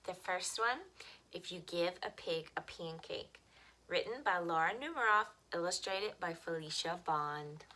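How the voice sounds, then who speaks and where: A woman reading picture books aloud to young children as a story time host, with expressive, simple phrasing. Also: lowish voice, a little slow, slight background noise.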